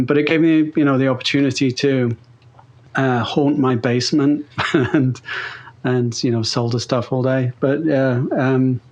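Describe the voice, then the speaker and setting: A man's voice: medium pitch, a little mellow, dull audio. Chatty man, rambling with fillers. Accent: neutral British-Australian blend. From England. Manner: rambling, many fillers and restarts. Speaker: guest pedal builder. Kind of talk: casual interview chat.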